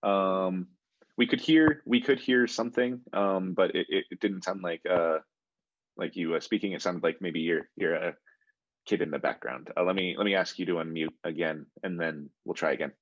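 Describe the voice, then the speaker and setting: Casting: deep-voiced male instructor, hesitant and friendly, over a dull, dry call line. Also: dry recording. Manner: friendly, halting with many ums and restarts. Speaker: coding instructor. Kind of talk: online class, calling on students. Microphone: video call. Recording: muffled video call audio.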